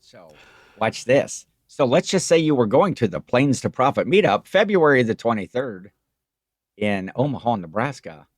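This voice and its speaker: A man co-hosting a casual podcast chat, his voice mid-pitched for a man. He rambles through his points with fillers and restarts. The recording is dry and close, with no room sound. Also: very animated, normal speed, no room sound. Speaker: podcast co-host.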